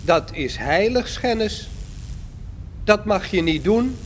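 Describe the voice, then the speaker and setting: An amateur actor in a radio play as a calm, pious robot, a man speaking in a measured, solemn, preachy manner. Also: sits high, a little hiss or hum. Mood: solemn, stern.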